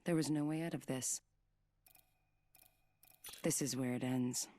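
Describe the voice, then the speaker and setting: A woman voicing a cool, stealthy, menacing fighter in a video game, in measured, taunting lines. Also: rumbling low, fairly even intonation, dark tone, no room sound. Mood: calm menacing.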